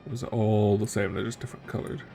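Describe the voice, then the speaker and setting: A man's voice: on the low side, steady tone, fast, creaky, mellow, faint music behind. A man streaming a video game with casual commentary, musing and thinking aloud as he plays.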